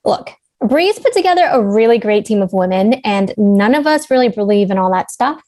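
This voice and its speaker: A woman's voice: medium pitch, animated, normal speed, mellow, dry recording, natural voice. Level-headed climber, acted by a woman, dry sound. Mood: matter-of-fact. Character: determined, level-headed female veteran mountain climber. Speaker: actor in a table read. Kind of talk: conversational script reading.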